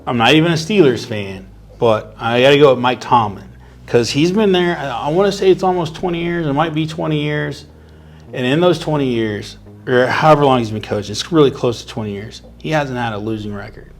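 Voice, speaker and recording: A man's voice: medium pitch, fairly quick, a little hiss or hum. A man from Houston, Texas, working as a substitute teacher, giving earnest, reflective interview answers.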